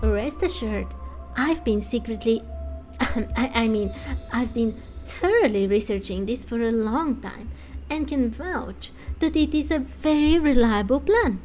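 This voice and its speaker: A woman's voice: fairly high pitch, lively intonation, a little slow, darkish tone. A woman voicing a breezy, boastful young female mechanic from a fantasy game, talking glibly. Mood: reassuring. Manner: boastful, glib. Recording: music under the voice.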